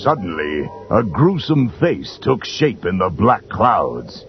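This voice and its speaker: A man's voice: lowish voice, very animated, slow. A man narrating a children's adventure storybook in a dramatic, scripted style. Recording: dull lo-fi tape with music behind.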